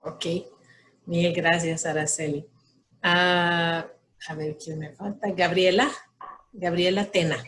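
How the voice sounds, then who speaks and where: Slow-paced woman leading a class. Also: fairly low pitch, steady tone, a bit bright. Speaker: class leader, catechist. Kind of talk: calling on participants in an online class.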